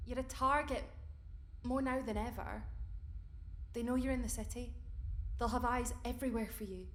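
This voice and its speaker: A woman's voice: on the high side, fairly even intonation, normal speed, big-room sound, natural voice. An actress playing a brave, steadfast woman of the resistance in an audio drama, earnest and reassuring. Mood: earnest, determined. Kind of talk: fiction podcast audio drama acting.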